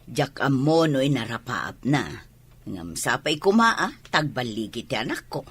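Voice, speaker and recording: A woman's voice: very deep voice, very animated, measured pace, husky, full character voice. A woman with an Ilocano Filipino accent playing a protective, proud middle-aged mother in an audio drama. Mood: defensive, proud. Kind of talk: amateur audio drama acting.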